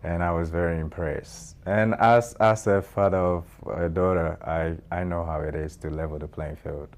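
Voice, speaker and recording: Deep-voiced Ghanaian man, measured. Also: fairly even intonation. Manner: measured, with frequent fillers and restarts. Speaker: guest, nonprofit founder. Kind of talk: interview answers.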